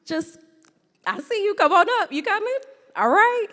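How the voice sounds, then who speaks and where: Loud, animated woman in an echoey hall. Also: very high-pitched, normal speed. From St. Louis, USA. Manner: loud, animated, playful with the audience. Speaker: guest speaker. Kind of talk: lively public talk with audience games.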